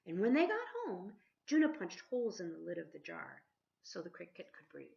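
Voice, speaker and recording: Female storybook reader, teacherly and animated, engages listeners with questions; lively pitch, slightly muffled lo-fi recording. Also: brisk, gravelly. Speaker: teacher reading a picture book aloud. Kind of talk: expressive children's storybook read-aloud. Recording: dull lo-fi sound.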